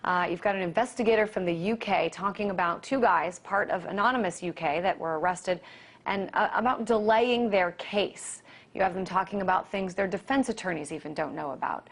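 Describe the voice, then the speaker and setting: An American woman reads and discusses the news as an anchor. Her pitch is average for a woman, and she delivers in a broadcast manner, moving between scripted reporting and live interviewing. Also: brisk.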